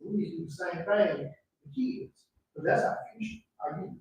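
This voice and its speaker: A man's voice: sits high, lively intonation, moderate pace, background noise. A man in his fifties making unscripted, rhetorical appeals as an advocate at a live panel. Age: 50s. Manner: rhetorical, exhorting. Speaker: panel speaker, community advocate. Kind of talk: impassioned unscripted public remarks. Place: room at a live community event. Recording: echoey room, distant mic.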